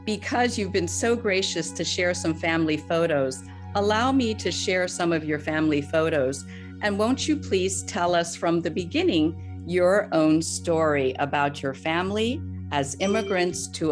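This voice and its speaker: Host role: a woman with a fairly low voice, gracious and inviting, with faint background music. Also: lively intonation, normal speed. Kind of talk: hosting an online interview.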